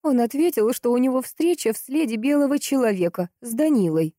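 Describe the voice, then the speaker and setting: Woman voicing a distraught, insistent grieving sister, mellow-toned. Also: fairly high pitch, lively intonation, no room sound, studio quality, pitched above the performer's own voice, lightly acted. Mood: agitated, impatient. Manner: emphatic, impatient, drawn-out stresses. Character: distraught, insistent, hot-tempered grieving sister in a crime story.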